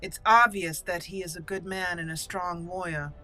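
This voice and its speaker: A woman's voice: fairly low pitch, fairly even intonation, normal speed, sharp tone, soft background music, rough recording. A woman voicing a proud young noblewoman mage in a roleplayed game, speaking formally and with composure. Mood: composed, imperious. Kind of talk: reading game dialogue in character.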